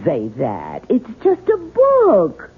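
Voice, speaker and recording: Doubting woman, wildly swooping pitch. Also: wildly swooping pitch, slow, soft background music. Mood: exasperated. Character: doubting, exasperated woman arguing. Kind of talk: radio drama acting. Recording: muffled old radio broadcast, faint noise.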